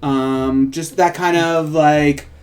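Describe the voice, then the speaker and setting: Slightly high-voiced man, loose casual co-host. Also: fairly even intonation, slow pace, a little mellow. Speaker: show co-host. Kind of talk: casual co-hosted chat.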